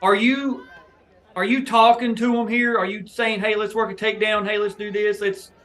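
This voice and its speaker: Male host with a high voice, teaching-style breakdown of officiating footage. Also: level tone, moderate pace, fairly bright tone, a faint crowd. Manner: explanatory, coaching tone toward new officials. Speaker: host reviewing match footage. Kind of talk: instructional match breakdown for officials.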